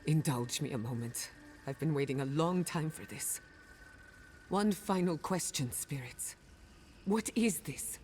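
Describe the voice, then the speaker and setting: A woman playing a wounded, sharp-tongued animancer in a fantasy game, emotional and sardonic. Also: low-pitched, a little hiss or hum. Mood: weary, sardonic. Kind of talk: fantasy video game acting.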